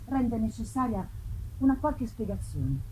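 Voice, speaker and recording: A woman's voice: on the high side, big pitch swings, measured pace, a little mellow, poor audio. A woman voicing a loving, anxious young woman in a tender, hesitant, pleading dramatic reading of a letter. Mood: tender, concerned. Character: loving, anxious young woman writing to her betrothed cousin. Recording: live festival recording with background noise.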